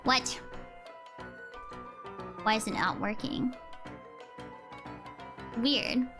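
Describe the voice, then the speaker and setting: Young female streamer, high pitched, slightly hoarse, reads aloud with stumbles, light music underneath. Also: drawn-out words. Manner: chatty, giggly, stumbles over words while reading. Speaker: VTuber streamer. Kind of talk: live stream, reading aloud.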